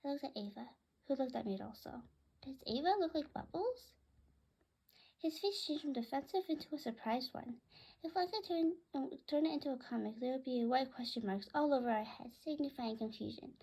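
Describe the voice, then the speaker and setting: A woman narrates a read-aloud story in a high, soft-toned voice with noticeable creak. It is a little husky, and she now and then stumbles and corrects a word. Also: lively intonation, fairly quick, low-quality recording. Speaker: author reading own story aloud.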